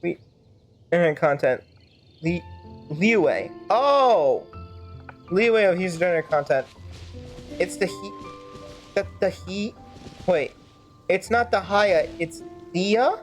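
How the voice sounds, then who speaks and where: A woman's voice: fairly low pitch, a little slow, pauses often. A young woman giving casual live gameplay commentary as a streamer, musing aloud in a rambling way. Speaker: game streamer. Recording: stream with faint game music behind.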